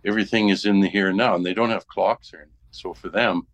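A man with a low-pitched voice in casual conversation. He muses and explains, working through his ideas as he speaks. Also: fairly even intonation, quick pace. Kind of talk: casual group chat.

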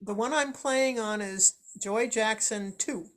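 A woman's voice: fairly high pitch, takes it slowly. A woman radio presenter chatting casually with colleagues. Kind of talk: casual chat.

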